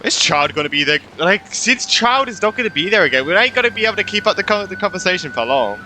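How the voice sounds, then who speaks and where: A man's voice: high voice, very animated, quick pace, bright, forceful tone, slight background noise. A young man giving casual, reactive playthrough commentary as a gaming streamer, often repeating himself, close on the mic.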